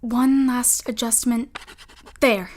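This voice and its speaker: A woman's voice: on the high side, fairly even intonation, slow. A woman playing a commanding, coaxing older sister, a scheming girl from an old-fashioned household, in an audio drama. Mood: bossy, conspiratorial.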